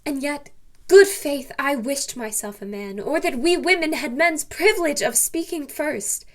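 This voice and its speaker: Casting: woman to play lovestruck young noblewoman in Shakespearean verse, slightly high voice, flustered and halting.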